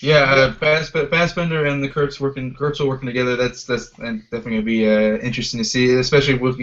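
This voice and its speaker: A man's voice: mid-range voice, level tone, fast, a bit bright. Male podcast host, dull lo-fi sound. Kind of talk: casual film discussion. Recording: dull lo-fi audio.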